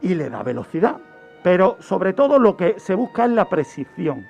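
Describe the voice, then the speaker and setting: Man, high gravelly voice, didactic radio storyteller, music underneath. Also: big pitch swings, moderate pace, a little mellow, lo-fi sound. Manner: didactic, poses questions then answers them. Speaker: guest criminologist presenting a true-crime segment.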